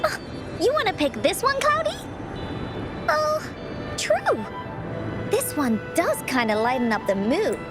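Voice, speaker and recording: A woman voicing a cheerful, earnest little girl in a video game, sweet and childlike, with excitable, gushing delivery. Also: very high voice, measured pace, pauses often, exaggerated voice. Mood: delighted, playful. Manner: excitable, gushing, with gasps and giggles. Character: cheerful, earnest little girl who adores her friends. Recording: clear music behind the voice. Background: game background music.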